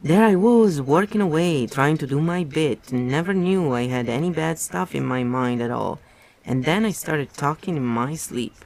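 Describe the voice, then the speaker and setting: A man's voice: fairly high pitch, moderate pace, vocal fry, darkish tone. A man reading aloud and voicing a pudgy, frightened middle-aged prisoner who pleads eagerly and almost blubbers.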